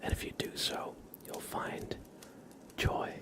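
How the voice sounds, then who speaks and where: Male ASMR host: low, hushed whisper, very slow pacing, gentle direct address. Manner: gentle, unhurried delivery addressing listeners directly.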